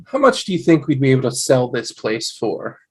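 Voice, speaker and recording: A man's voice: medium pitch, big pitch swings, a little fast, darkish tone, slightly rough. A man playing a nerdy, skeptical young husband in an amateur table read, dry and questioning. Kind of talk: amateur table read over video call.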